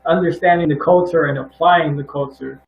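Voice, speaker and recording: Male host, a bit higher voice, halting question delivery, muffled roomy call sound. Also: fairly even intonation, vocal fry, slight background noise. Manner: hesitant, many fillers and restarts. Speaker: interviewer, host. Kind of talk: casual interview questions.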